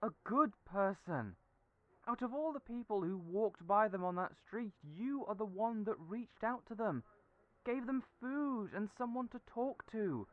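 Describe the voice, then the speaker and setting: A man voicing a young friend who talks earnestly and encouragingly, raised in pitch from his own voice. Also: lively intonation, a little slow, no echo, close to an everyday voice. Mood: reassuring. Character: warm, reassuring friend, young adult. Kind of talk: audio roleplay acting. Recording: tinny phone-like audio.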